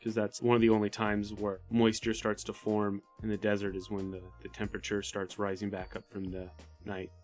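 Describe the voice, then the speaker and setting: Low-voiced man narrating as a player. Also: monotone, a little fast, darkish tone, soft background music. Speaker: tabletop roleplaying player. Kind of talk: casual improvised narration of his character's actions.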